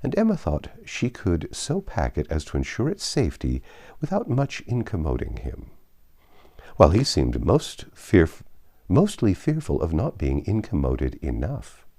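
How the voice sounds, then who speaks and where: A man narrating a classic novel aloud in an expressive storytelling style. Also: sits low, unhurried. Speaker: audiobook narrator. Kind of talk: expressive scripted literary narration.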